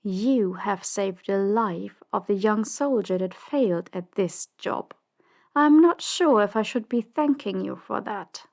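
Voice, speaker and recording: A woman playing a cold, ruthless crime boss in an audio drama, commanding and dismissive in a measured way. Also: medium pitch, gravelly, darkish tone. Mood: cool, probing. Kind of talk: audio drama roleplay acting.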